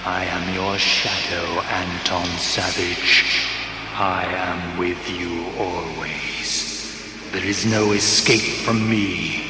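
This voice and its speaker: An actor playing a menacing, taunting spirit of darkness, speaking ominously in a sci-fi audio drama. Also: male voice, deep voice, steady tone. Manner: ominous, deliberate. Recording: echoing voice over loud music.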